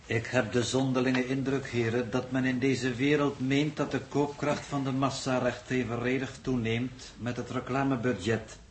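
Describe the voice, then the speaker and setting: A man's voice: medium pitch, little pitch movement, moderate pace, close to an everyday voice. An actor as a stiff, needling male coordinator. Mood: dry, sceptical. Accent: Netherlands Dutch. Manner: stiff, formal, pointed. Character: formal, dryly needling male mission coordinator. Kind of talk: 1960s Dutch radio drama acting. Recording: old radio recording, faint noise.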